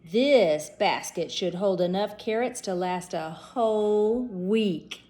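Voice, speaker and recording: A woman's voice: medium pitch, slow pace, darkish tone, natural voice. A woman voicing a sly, lazy male cartoon rabbit with a cocky drawl in a read-aloud storybook. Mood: smug.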